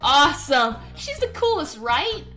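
A woman voicing an excitable, admiring young monster child in a game. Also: high voice, unhurried, soft background music, pitched below the performer's own voice, heavily acted. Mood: excited. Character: excitable young monster kid, hero-worshipping.

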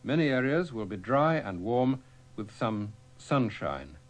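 A man presenting the weather on radio, joking in a deadpan way. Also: medium pitch, measured pace.

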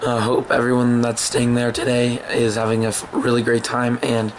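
Hoarse young man vlogging in his bedroom. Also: mid-range voice, completely flat delivery, moderate pace, background noise. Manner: rambling, many ums and restarts. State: hoarse, lost voice. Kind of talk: casual vlog talk to camera. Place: small bedroom indoors. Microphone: camera held at arm's length.

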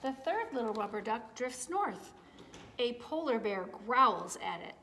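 A woman in her forties reading a picture book aloud to young children, with deliberate phrasing. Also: on the high side, big pitch swings, pushed and bright, a little hiss or hum. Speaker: children's story time reader. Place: large indoor room with high wooden ceiling.

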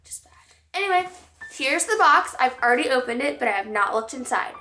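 Young woman vlogger, hoarse from a cold, high and gushing, in an echoey room. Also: fairly quick, soft background music. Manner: gushing, excitable. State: hoarse, losing her voice. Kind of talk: casual chat to camera. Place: living room, on a couch.

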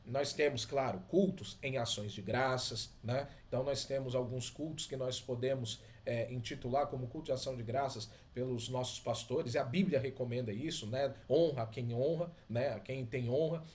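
A man's voice: mid-range voice, normal speed, few pauses, darkish tone. A middle-aged man with a Brazilian accent, teaching a Bible lesson in an emphatic, didactic way that repeats key words. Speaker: Sunday school teacher and pastor. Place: small indoor study with bookshelves.

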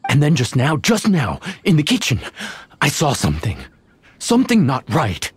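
Husky, frightened chef. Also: male voice, fairly high pitch, wildly swooping pitch, exaggerated voice. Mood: nervous. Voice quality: husky, breathy. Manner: halting, shaky, fearful. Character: intimidating male chef, ex-bandit, cowardly and easily spooked. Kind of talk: video game acting.

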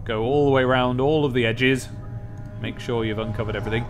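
A man's voice: mid-range voice, fairly even intonation, quick pace, slight background noise. A young British man giving casual, wry game commentary with rambling asides and bits of dramatic reading, close on a desk microphone. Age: young adult. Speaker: let's play host. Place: small indoor room at a desk. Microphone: desk microphone with pop filter, close. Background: game music.

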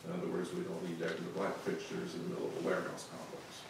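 Deep gravelly man, far off in a boomy hall. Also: level tone. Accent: Southern US. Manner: measured, reads conditions methodically. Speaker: planning staff presenter. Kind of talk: public meeting presentation. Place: large council meeting room. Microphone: room recording from far camera. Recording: echoey room, distant mic, faint noise.

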